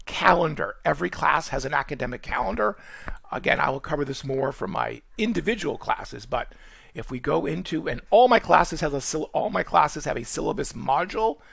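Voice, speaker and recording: A man from Boston with a Boston accent, giving a college course orientation in quick, rambling speech full of fillers and restarts. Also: on the high side, creaky, fairly bright tone, slightly rough, no room sound. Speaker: college nutrition instructor. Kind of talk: screen-recorded course orientation.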